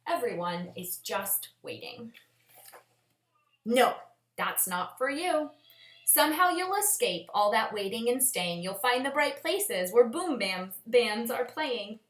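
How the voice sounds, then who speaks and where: A woman reading a children's picture book aloud in an expressive, dramatic, sing-song storytelling manner with emphatic pauses. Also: fairly high pitch. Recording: echoey room sound.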